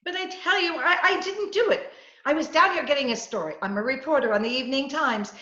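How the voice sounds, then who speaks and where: A woman's voice: sits high, brisk, bright, forceful tone. A woman playing a scatterbrained, eager newspaper reporter in an old-time radio comedy-mystery, stammering and chattering in a flustered way. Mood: nervous, chattering. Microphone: video call. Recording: dull, roomy video call recording.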